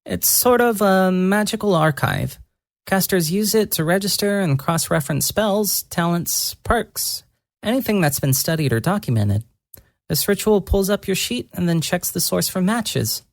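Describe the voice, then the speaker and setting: A narrator voicing a gentle young woman mage who murmurs softly and deliberately, earnest and lightly teasing, in a fantasy audio story. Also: mid-range voice, lively intonation, vocal fry, no room sound, voice pushed up. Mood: quiet, intrigued.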